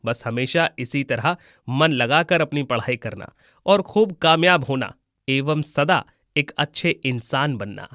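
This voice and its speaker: Man as a gentle young god in cartoon. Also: mid-range voice, measured pace, no echo, studio quality, pitched below the performer's own voice. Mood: tender. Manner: calm, kindly, reassuring. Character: gentle, wise young god disguised as a schoolboy. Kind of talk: Hindi moral-story cartoon voice acting.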